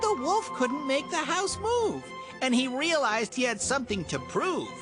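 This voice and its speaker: A woman's voice: high-pitched, big pitch swings, a little slow. Lilting woman reading rhymes over music. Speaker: narrator. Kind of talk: scripted rhyming storybook narration.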